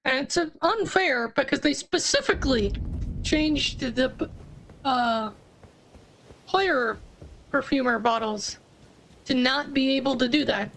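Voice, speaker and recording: High-pitched woman with a gravelly edge, rambling about a game while playing, a little hiss behind. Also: slow, long pauses, a bit bright, rough recording. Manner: chatty, explains game mechanics with restarts. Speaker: gaming streamer. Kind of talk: casual gameplay commentary.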